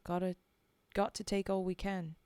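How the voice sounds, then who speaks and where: A woman voicing a rough male soldier stretcher-bearer with slangy, dropped-letter speech, half-whispered. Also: mid-range voice, flat delivery, moderate pace, dark tone, dry recording, natural voice. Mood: urgent.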